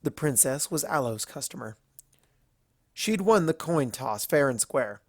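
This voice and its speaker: Guy narrating a fanfic, mid pitched voice, dry close recording. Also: expressive, unhurried, no room sound. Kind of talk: scripted fan fiction narration.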